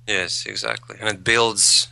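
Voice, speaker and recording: A man's voice: lowish voice, little pitch movement, unhurried, creaky, pushed and bright, slight background noise, poor audio. A man, a guest in an interview, speaking thoughtfully and repeating words as he searches for phrasing. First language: Latvian. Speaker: guest, English learner. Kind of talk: conversational interview.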